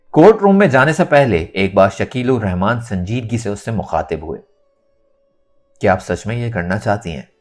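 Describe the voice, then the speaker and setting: A man narrating a scripted novel in a dramatic, expressive storytelling manner. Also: on the low side. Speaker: audiobook narrator.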